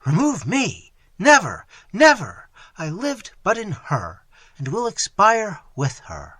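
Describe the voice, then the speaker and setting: A woman's voice: mid-range voice, big pitch swings, a little slow, no room sound, pitched above the performer's own voice. A male reader voicing a distraught noble mother crying out in grief as her daughter dies, with frantic, pleading cries. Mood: anguished.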